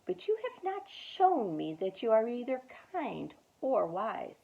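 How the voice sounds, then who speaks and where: A wise, kind old grandfather rabbit from a picture book, played by a woman reading aloud, speaking in a measured, gentle way. The sound is thin and tinny like a phone line. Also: a little high, big pitch swings, vocal fry, exaggerated voice. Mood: kindly.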